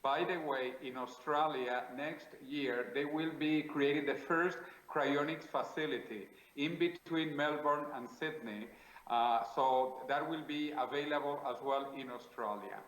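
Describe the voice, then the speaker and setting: A man from South America with a Spanish accent, a guest in an interview, answering in a measured, declarative way with frequent hesitations. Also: fairly high pitch, fairly bright tone, reverberant, rough recording. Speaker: guest, futurist.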